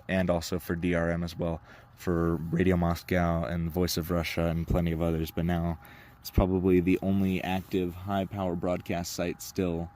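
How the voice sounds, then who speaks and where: A man's voice: low-pitched, a little mellow. A teenage male radio hobbyist giving casual, explanatory commentary in a slightly hesitant way. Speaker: shortwave radio hobbyist narrator. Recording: noisy, radio static and hum behind. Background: shortwave radio noise.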